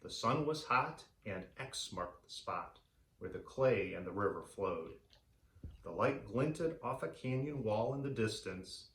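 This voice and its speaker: A man reading a story aloud for children in an animated, theatrical way. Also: lowish voice, unhurried, echoey room. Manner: animated, theatrical delivery for young listeners. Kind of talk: expressive read-aloud storytelling.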